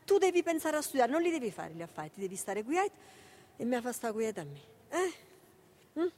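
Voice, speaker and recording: A woman's voice: on the high side, sing-song, a little fast, a little mellow. An actress playing a protective, weary and tender Neapolitan woman in a naturalistic radio drama, coaxing and repeating herself in a maternal way. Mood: anxious pleading.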